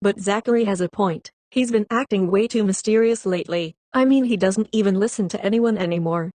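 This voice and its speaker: A woman's voice: medium pitch, brisk, mellow, no room sound, close to an everyday voice. A woman playing an exasperated, suspicious lab coworker in an amateur audio drama.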